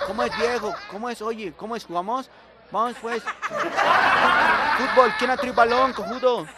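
A man playing a cheeky, rowdy teenage schoolboy with slangy, excitable chatter in a comic monologue. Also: very high voice, normal speed, heavy background noise, voice pushed up. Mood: excited.

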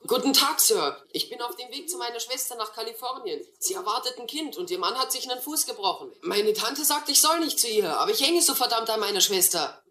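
A woman's voice: fairly high pitch, expressive, fairly quick, hardly any pauses, sharp tone, roomy sound. A woman playing a cheeky young runaway boy in a German radio play, glib, pleading and insistent. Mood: pleading, earnest. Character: cheeky young runaway hobo boy, quick-witted tall-tale teller.

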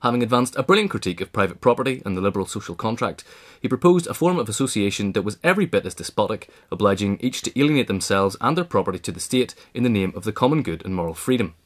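A man's voice: fairly low pitch, sing-song, fast talker. A man reading scripted nonfiction narration as an audiobook reader. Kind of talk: scripted narration of a political history essay.

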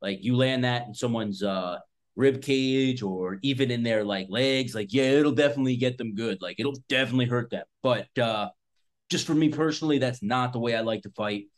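A man's voice: medium pitch, normal speed. A man of thirty-six, a self-defense instructor, talking casually and bluntly to camera, swearing often and rambling with lots of fillers. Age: 30s. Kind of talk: casual unscripted talk to camera. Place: small indoor room in front of a backdrop.

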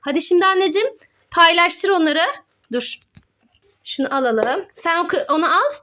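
A woman's voice: extremely high pitch, animated, moderate pace, pushed and bright. A woman narrating pretend play for children as host, coaxing and giving simple step-by-step instructions like a mother to her child.

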